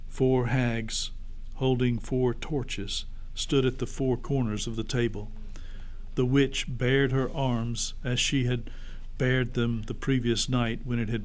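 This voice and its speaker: A man narrating a story expressively, as a pastor reading aloud to children. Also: mid-range voice.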